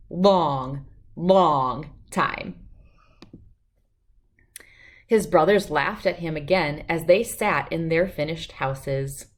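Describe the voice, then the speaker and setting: A woman hosting a read-aloud, telling a children's storybook. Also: fairly low pitch.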